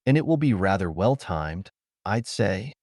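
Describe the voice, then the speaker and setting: A man's voice: deep voice, very animated, unhurried, a little mellow, dry recording, heavily acted. A man voicing a cool, unflappable young man in an audiobook, nonchalant and dry-witted. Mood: wry, unbothered.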